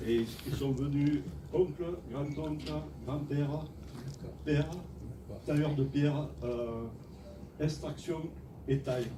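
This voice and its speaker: Older French craftsman on stage, modest and halting speech, echoey hall, voice off-mic, with noise behind him. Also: a little high, fairly even intonation, far from the mic. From France. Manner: plain and modest, halting, searching for words. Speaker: award-winning craftsman and company head. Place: large event hall.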